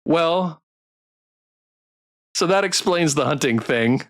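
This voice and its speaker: A woman's voice: sits low, animated, takes it slowly, dry recording, studio quality. A woman streaming a game, reacting expressively in casual commentary and reading dialogue aloud. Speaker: streamer playing a game blind.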